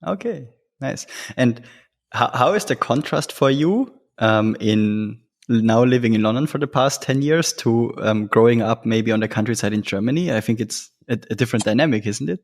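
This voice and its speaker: A man hosting an interview podcast, asking casual questions in a halting way, searching for his phrasing. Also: medium pitch.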